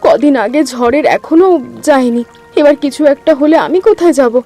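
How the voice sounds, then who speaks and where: A woman voicing a fisherman's anxious young wife in a cartoon, speaking in a pleading, excitable way. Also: high-pitched, fairly quick, soft background music, natural voice. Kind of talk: cartoon voice acting.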